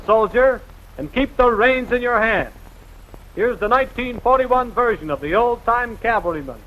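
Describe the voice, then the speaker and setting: A man's voice: sits high, bright, forceful tone, low-quality recording. An American man narrating a scripted 1940s newsreel in a formal, emphatic, rousing announcer's delivery, on a vintage film soundtrack.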